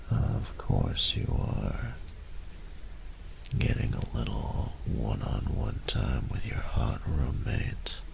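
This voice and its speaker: Man playing a very deep, gravelly tender roommate. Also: a little hiss or hum, full character voice, poor audio. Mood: teasing, affectionate. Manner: soft breathy murmurs, teasing pet names. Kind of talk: intimate romantic audio roleplay. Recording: rain and thunder ambience behind voice. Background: rain and thunder.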